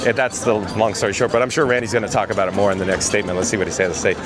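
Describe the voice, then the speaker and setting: A hoarse man with a raspy voice hosting a live theme park vlog outdoors, chatting and reading viewer comments aloud. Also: lowish voice, rapid-fire, music in the background. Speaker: livestream host.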